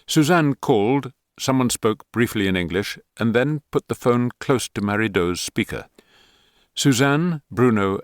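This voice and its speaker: A man narrating a thriller audiobook in a measured, even reading on a close studio mic. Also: lowish voice, no echo.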